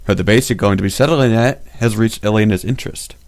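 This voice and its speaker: A man's voice: on the low side, fast, a little mellow, close to an everyday voice. A man acting as a wry, chatty mission boss in game cutscene dialogue. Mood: wry, casual. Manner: casual, wry.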